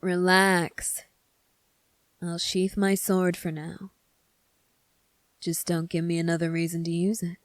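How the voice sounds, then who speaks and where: A woman voicing a sly, teasing young prince in a scripted ASMR roleplay, speaking deliberately and smugly with calm command. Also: fairly low pitch, no echo. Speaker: voice actor.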